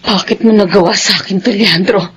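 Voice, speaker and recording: An actress playing a young wife in a radio drama who confronts her unfaithful husband, shouting through tears. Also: mid-range voice, brisk, breathy edge, highs cut off. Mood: tearful fury. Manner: tearful shouting in anger. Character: wronged young wife, long-suffering. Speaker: older sister.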